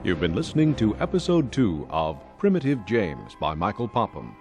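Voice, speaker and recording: A man's voice: fairly low pitch, wildly swooping pitch, moderate pace, lo-fi sound. A man announcing scripted closing credits for a radio drama. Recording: music behind the announcement.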